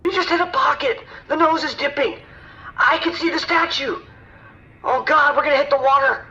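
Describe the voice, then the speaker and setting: An actor playing a panicked, helpless airline passenger in an audio drama, stammering and alarmed. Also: male voice, very high-pitched, moderate pace, sharp tone, exaggerated voice. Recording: tinny lo-fi cockpit radio call.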